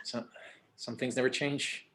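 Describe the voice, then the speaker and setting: An actor playing an exasperated but affectionate grown son in a table read, repeating words hesitantly. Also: male voice, medium pitch, little pitch movement, a little slow, a bit bright, close to an everyday voice. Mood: wry, teasing. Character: adult son, exasperated yet affectionate with his father. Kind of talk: screenplay table read acting.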